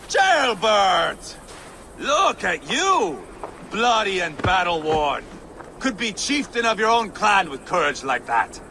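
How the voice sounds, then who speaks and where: A man's voice: high-pitched, big pitch swings, slow, sharp tone, slight background noise, exaggerated voice. An actor playing a brash, swaggering Viking warlord who mocks his rivals. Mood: boisterous. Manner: mocking, boisterous. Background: music.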